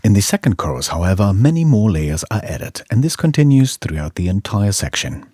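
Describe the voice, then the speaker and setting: A man's voice: lowish voice, big pitch swings, brisk, dark tone, dry recording. A man giving scripted tutorial narration as an audio engineering instructor.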